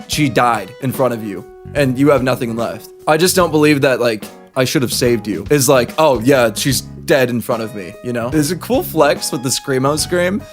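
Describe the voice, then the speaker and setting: A man hosting a casual critique of voice acting, conversational and encouraging but candid. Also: medium pitch. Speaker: host reviewing voice acting submissions.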